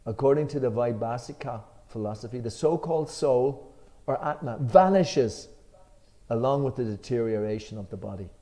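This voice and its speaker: Man explaining scripture, mellow voice. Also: mid-range voice, normal speed. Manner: reads with sudden loud emphasis on key words; relaxed chatty explaining with fillers. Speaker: Gita class speaker. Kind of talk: reading commentary aloud, then explaining informally. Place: temple class room.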